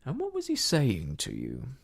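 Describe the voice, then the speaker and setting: A man's voice: medium pitch, wildly swooping pitch, a little slow, creaky, husky, full character voice. A man playing a cunning one-legged seafaring landlord in an audiobook, blustering in salty sailor talk, hearty one moment and stern the next.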